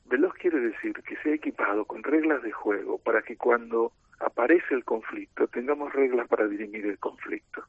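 A middle-aged man with an Argentine accent, a team coach explaining his ideas in a measured, lecturing way in a radio interview. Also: mid-range voice, husky, dry recording. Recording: tinny phone line.

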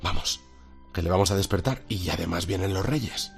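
A man playing an affectionate, teasing father with a husky voice, in natural radio drama dialogue. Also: low voice, normal speed, music in the background. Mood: troubled, tender. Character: affectionate, teasing young father, troubled by the world.

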